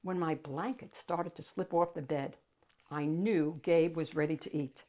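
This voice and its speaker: A woman's voice: low-pitched, fairly even intonation, a little slow, dark tone. A woman narrating a children's picture book expressively as its reader and host.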